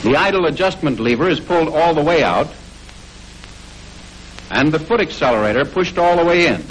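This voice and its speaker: High-pitched 1940s American training film narrator, male. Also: lively intonation, normal speed. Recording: noisy old 1940s film soundtrack.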